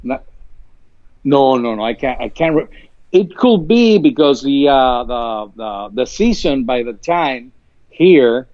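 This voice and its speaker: Man, fifties, halting, dull lo-fi sound, very dry. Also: medium pitch, lively intonation, a little mellow, no echo. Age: 50s. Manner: halting, repeats words, many uh fillers. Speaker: marina guest interviewed. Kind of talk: casual live interview.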